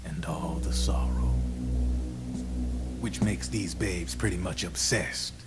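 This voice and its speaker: An American actor playing a slick, talkative arms dealer in a video game cutscene, with streetwise, theatrical, drawn-out phrasing. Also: male voice, sits low. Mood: grave, dramatic. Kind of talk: video game cutscene acting, explanatory monologue. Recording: loud music over the voice.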